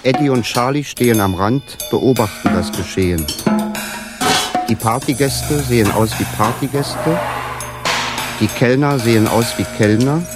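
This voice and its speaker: A woman narrates a scripted radio drama in an extremely low voice. She reads stage directions while loud music and drums play behind her. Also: unhurried.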